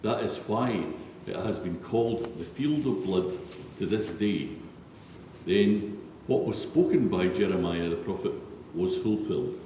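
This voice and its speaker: A man with a low, mellow voice reads scripture solemnly as a narrator. He is heard from far off in a big church, with long, heavy reverb around every word. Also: a little slow. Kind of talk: solemn scripted Bible reading. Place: large reverberant church, read aloud. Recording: echoey church hall, distant, faint noise.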